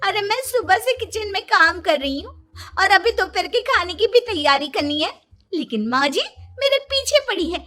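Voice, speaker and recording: Woman voicing a shrill, sassy young wife. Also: lively intonation, moderate pace, bright, forceful tone, heavily acted. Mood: indignant. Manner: snippy, indignant. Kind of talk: Hindi children's cartoon dialogue.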